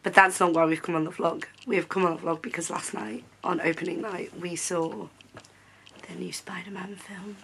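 Young female vlogger, bright voice, chatty, hoarse from a cold in later clips. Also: fairly low pitch, steady tone, moderate pace, slight background noise. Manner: chatty, lots of "um" fillers. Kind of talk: casual vlog chat. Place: bedroom at home.

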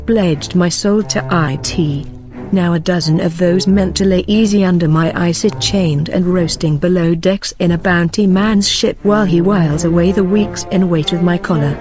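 A woman acts out a stern female warrior guardian from a motion comic. She speaks with solemn, resolute command. Music runs clearly underneath her voice. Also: lowish voice, moderate pace, a little mellow, lightly acted. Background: background music.

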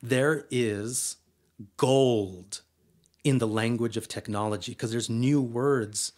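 Energetic man in his late thirties. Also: mid-range voice, slow pace. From El Paso, Texas, US. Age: 30s. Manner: energetic, filler-heavy, keeps adding "right?". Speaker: poet, interview guest. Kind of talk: casual interview conversation.

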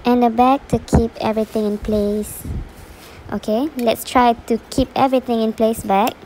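A woman from Malaysia narrating a casual product unboxing in a plain, unhurried way, naming each item one by one. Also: fairly high pitch, flat delivery, mellow, a little hiss or hum, poor audio. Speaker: unboxing reviewer. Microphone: voice-over, speaker not seen.

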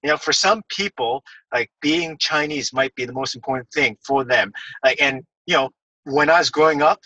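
A man's voice: medium pitch, moderate pace, pushed and bright, no echo, recorded from a distance, dull audio. A man in his thirties from Hong Kong, a guest educator talking thoughtfully in a casual podcast with many fillers. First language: English.

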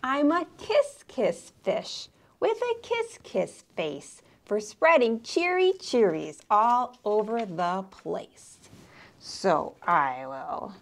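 A woman's voice: medium pitch, very animated, takes it slowly. A woman voicing a glum, gloomy male fish in a children's storybook reading, chanting in a singsong rhyme. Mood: cheerful.

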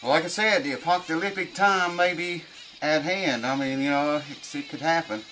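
A middle-aged man giving a casual hands-on review to camera, informal and unhurried, thinking aloud as he goes. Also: on the high side, pushed and bright, soft background music, poor audio. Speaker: product reviewer. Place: small cluttered indoor workshop room.

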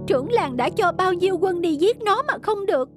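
A woman playing a distressed village wife in an animated folktale, anxious and plaintive. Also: very high-pitched, brisk. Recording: music behind the dialogue.

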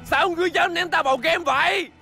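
A man's voice: very high voice, fairly quick, gravelly, sharp tone, soft background music, heavily acted. A man voicing a pompous, hot-tempered little alien villain in a cartoon dub, bossy and scornful in a theatrical way. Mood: angry, commanding.

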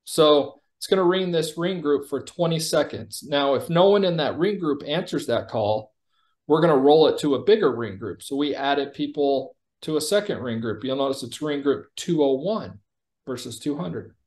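A man giving a conversational product demo over a video call, explaining like a company executive presenting software. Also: fairly high pitch, moderate pace.

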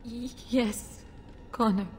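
A woman's voice: on the high side, steady tone, takes it slowly. An actress playing a frightened noblewoman in a fantasy game, a mother who speaks hesitantly and pleadingly. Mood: fearful. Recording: reverberant stone hall, faint noise.

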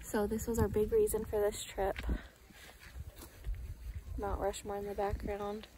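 A woman's voice: mid-range voice, monotone, measured pace, poor audio. A woman in her thirties chatting cheerfully as she films her road trip on a phone held at arm's length, describing the sights. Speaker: travel vlogger. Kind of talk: casual travel vlog narration. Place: in a car, a hotel room and outdoors in snow. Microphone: phone camera held at arm's length. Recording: road and wind noise behind a phone recording. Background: car and outdoor ambience.

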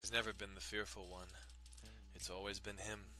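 A man's voice: on the low side, little pitch movement, normal speed, bright, forceful tone, a little hiss or hum. A man giving a dramatic reading of a visual novel as its narrator. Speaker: dramatic reader.

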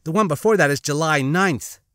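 A man's voice: a little high, fairly quick, fairly bright tone, dry recording, clean studio sound, close to an everyday voice. A man voicing an alert, earnest young woman survivor in an audiobook. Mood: excited, urgent.

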